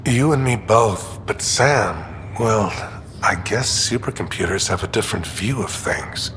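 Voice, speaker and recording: Careful ship doctor in a sci-fi game, kinda low, hesitant, bit of hall echo. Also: male voice, a little slow, fairly bright tone. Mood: cautious. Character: cautious male ship doctor, weary but kindly. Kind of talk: dramatic video game acting. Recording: hall reverb, faint ambient noise.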